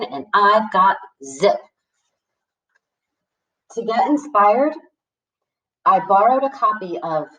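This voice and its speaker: A woman's voice: medium pitch, a bit bright. A woman reading a novel aloud expressively as a storyteller. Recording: echoey room, distant from the mic.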